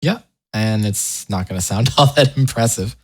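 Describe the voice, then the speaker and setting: A man hosting a casual software tutorial, talking informally with wry asides and interrupting himself as he goes. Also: fairly low pitch, normal speed, over loud music. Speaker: tutorial host.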